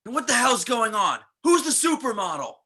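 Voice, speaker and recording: A man playing a brash, crude young slacker sidekick in an amateur script reading, loud and cocky. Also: very high voice, big pitch swings, moderate pace, slightly rough, heavily acted. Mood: baffled.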